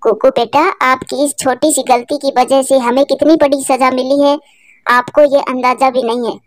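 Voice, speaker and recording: A woman's voice: sits high, little pitch movement, normal speed, no room sound, clean studio sound, natural voice. A woman voicing an anxious, emotional mother sparrow in a cartoon, devoted and pleading. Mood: sorrowful.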